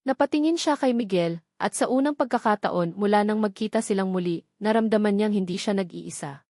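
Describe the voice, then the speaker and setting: A woman narrates a scripted romance story in a steady, emotive, unhurried way. Her voice sits at an ordinary middle pitch. The recording is dry and close to the mic, with no room echo.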